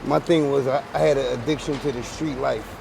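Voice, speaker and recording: A man's voice: mid-range voice, fairly even intonation, a little fast, creaky, background noise. A man in his forties with a Philadelphia accent, talking casually in street slang, often repeating himself and restarting his sentences. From Philadelphia, USA. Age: 40s. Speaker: interviewee. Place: outdoors on a busy city street. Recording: outdoor street recording with passersby.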